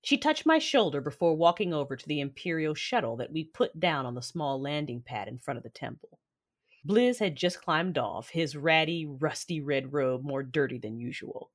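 A woman playing a hardened, guarded warrior mother who narrates an audio drama in a terse, measured way. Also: low-pitched.

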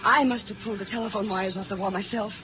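An actress playing a scared young nightclub singer in a 1950s radio drama, halting and anxious, repeating herself, on a scratchy old recording. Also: female voice, medium pitch, fairly even intonation, narrow, tinny audio, natural voice. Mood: anxious, defensive. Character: frightened young female nightclub singer, panicky and pleading.

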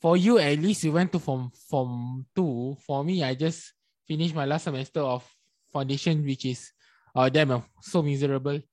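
A man's voice: fairly high pitch, normal speed, darkish tone. A young man from Malaysia with a Malaysian English accent, co-hosting a casual chat and rambling with repeated words. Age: young adult. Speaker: podcast co-host.

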